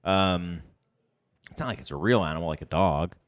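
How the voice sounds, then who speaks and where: A man's voice: low voice, normal speed. A middle-aged man co-hosting a podcast, chatting casually and rambling with ums and restarts. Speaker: podcast co-host. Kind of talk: casual chat. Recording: reverb on the voice.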